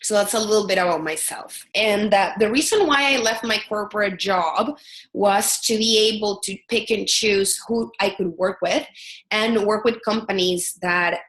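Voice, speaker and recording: A woman's voice: medium pitch, moderate pace, a bit bright, roomy sound. A woman in her thirties from El Salvador with a Salvadoran Spanish accent, giving an earnest webinar presentation as a product strategist, with frequent fillers and restarts.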